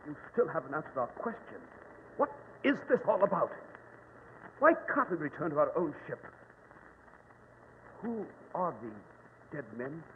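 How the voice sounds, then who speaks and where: An actor playing a young, adventurous husband in an old-time radio drama, with a dramatic, stammering delivery. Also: male voice, a little high, sing-song, unhurried, pauses often. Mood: alarmed, indignant. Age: young adult. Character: young adventurous bridegroom, breezy, coaxing, protective. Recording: thin lo-fi old radio recording, hiss.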